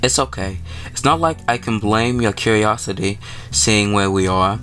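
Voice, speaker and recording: A man's voice: on the low side, normal speed, a bit bright, close to an everyday voice. A man voicing a haughty, irritable witch girl in a visual novel, curt and scornful. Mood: resigned. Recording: music playing behind the voice.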